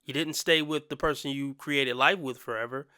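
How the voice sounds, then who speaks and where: Blunt, bright-voiced man commenting. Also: medium pitch, steady tone, moderate pace, dry recording, clean studio sound. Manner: blunt, opinionated, slangy. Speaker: commentary channel host. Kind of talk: reaction commentary.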